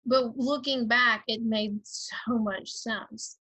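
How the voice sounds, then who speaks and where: A middle-aged woman with a Georgia Southern accent, chatting as an interview guest over a video call, with quick asides and laughter. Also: on the high side, steady tone, pushed and bright, no echo.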